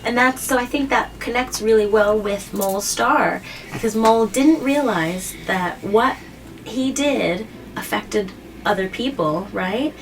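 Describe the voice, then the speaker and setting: Woman explaining gently, slightly distant mic. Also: medium pitch, measured pace. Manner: gently didactic, often checking with questions. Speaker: host of a children's story read-aloud show. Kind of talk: picture-book reading and simple explanations to a child. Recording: distant mic, faint background noise.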